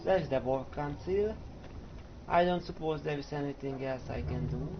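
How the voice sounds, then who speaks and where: A man's voice: fairly high pitch, moderate pace. A man with a Hungarian accent, thinking aloud as he comments casually on a game he is playing. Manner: thinking aloud, hesitant, chuckling. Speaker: let's play host. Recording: dull lo-fi mic with background noise.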